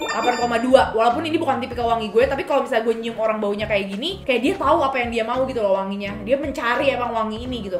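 A young woman with a Jakarta accent speaks quickly in a fairly high voice. She is expressive and slangy, thinking aloud as she reviews products to camera. The room adds noticeable echo, and music plays behind her. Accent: Indonesian, Jakarta. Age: young adult. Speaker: beauty vlogger reviewing perfumes. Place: indoor room, seated at a table.

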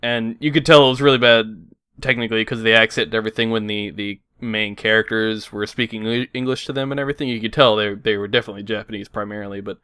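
A man's voice: on the low side, fairly even intonation, fast talker, bright, forceful tone, clean tone, no echo, clean studio sound. A man hosting a casual anime podcast, rambling with lots of fillers and casual swearing. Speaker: podcast host. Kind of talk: casual chat.

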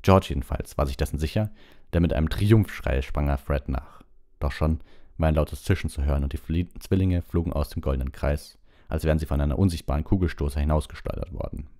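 A man's voice: extremely low pitch, fairly quick, mellow. A man reading a story aloud as scripted audiobook narration.

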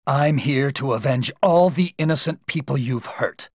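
A stern male ninja from a video game cutscene, played by a man. He speaks formally and solemnly at a middling pitch, with grim, measured honor. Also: dry recording, studio quality, natural voice. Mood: grim, threatening.

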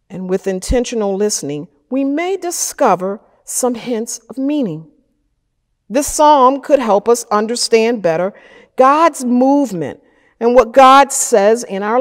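Slow, measured woman preaching in a church. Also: on the high side. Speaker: pastor. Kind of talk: sermon. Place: wood-paneled church chancel, at a lectern. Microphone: fixed camera at a distance. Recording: church with a long reverb tail.

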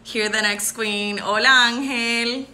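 A woman's voice: fairly high pitch, fairly even intonation, takes it slowly, bright, forceful tone. A young woman in her twenties with a Puerto Rican accent, a pageant contestant answering viewer comments on a live stream, laughing often and switching between English and Spanish. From Puerto Rico. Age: 20s. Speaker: pageant contestant hosting a livestream. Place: bright room indoors. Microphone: phone camera held close.

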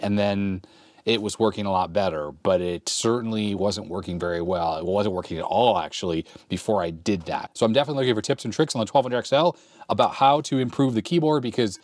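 A man's voice: fairly low pitch, dry recording. A man in his forties casually thinking aloud as he tests an old computer in a cluttered workshop, filmed up close. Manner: relaxed, thinking aloud while typing. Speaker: retro computer repair YouTuber. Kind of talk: casual hands-on demo talk. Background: keyboard clicks and typing.

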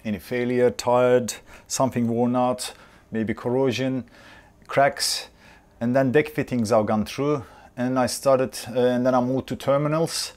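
A man's voice: mid-range voice, darkish tone, clean tone. A man in his forties, a rigger, listing inspection points in short broken phrases, recorded on a lapel mic in a workshop. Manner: non-native, fragmented phrasing, listing checks. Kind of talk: technical interview. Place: workshop, indoors.

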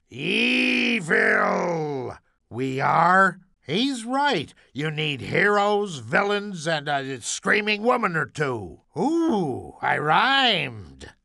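An actor playing a forgetful, doddering elderly superhero, rambling absent-mindedly and bursting into heroic shouts. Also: male voice, fairly high pitch, sing-song, very slow, gravelly, no room sound, studio quality, exaggerated voice. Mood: heroic shouting. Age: older. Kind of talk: video game voice clips.

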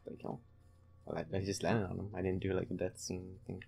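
Low, creaky-voiced man gaming. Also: monotone, quick pace, a little mellow, soft background music. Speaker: let's play host, playing the game. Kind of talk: casual gameplay commentary with a friend.

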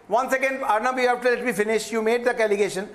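Insistent Indian man, very high voice. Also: fairly even intonation, quick pace. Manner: defensive, insistent, repeating himself. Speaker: central minister, debate guest. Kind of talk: heated TV debate.

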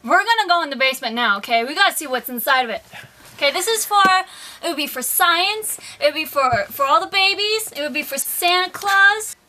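A woman's voice: high-pitched, animated, sharp tone. A young woman acting a reckless, insistent friend who repeats herself, in an amateur comedy-horror short. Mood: insistent, eager. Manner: rapid repetitions, insistent.

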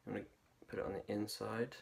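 A young man giving a casual hands-on tutorial, talking haltingly as he works with his hands. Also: on the low side, level tone, creaky. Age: young adult. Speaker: tutorial presenter. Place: small home bedroom at a desk, indoors. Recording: noisy room echo with clicks.

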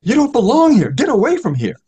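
A man voicing a blind, ragged male spirit in a dark underworld, contemptuous and pained, spluttering and pleading to be left alone. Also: extremely high pitch, wildly swooping pitch, a little fast, husky, voice pushed up, exaggerated voice. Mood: alarmed.

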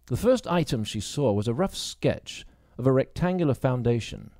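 A man narrates a story in a mellow, relaxed voice. He reads as an actor telling a tale, recorded close and dry with almost no room sound. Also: mid-range voice, normal speed, no room sound. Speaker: audiobook reader, local actor.

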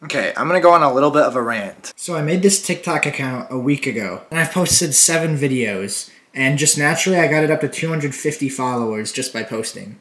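A man's voice: on the high side, fairly bright tone. A teenage man talking casually to the camera in a vlog, blunt and swearing as he rants. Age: teens. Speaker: vlogger. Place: indoors at home, close to camera. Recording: hip hop music behind.

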